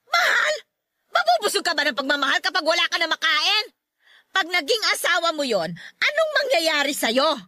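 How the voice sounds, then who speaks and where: A very high, piercingly bright voice: a middle-aged, domineering mother in a radio drama. She nags harshly and stresses her words with scorn, recorded close and dry. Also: sing-song, normal speed, dry recording, exaggerated voice. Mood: angry, scornful. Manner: nagging, harsh, sharply emphatic. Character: angry, scolding mother who scorns her daughter's boyfriend. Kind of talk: Filipino radio drama acting.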